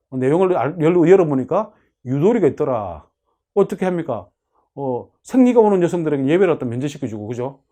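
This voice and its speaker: A man lectures on religious practice in a soft-toned, mellow voice that sits a little high. His intonation swings widely as he explains. He teaches in a didactic way, often asking a question and then answering it himself.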